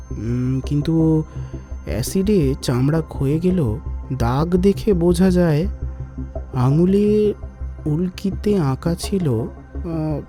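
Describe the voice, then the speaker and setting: A brainy professor in a crime audio drama, mellow voice, explains things deliberately, light music under it. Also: male voice, on the high side. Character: male forensic professor, analytical, imaginative, deliberate explainer. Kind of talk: dramatised detective audio story acting.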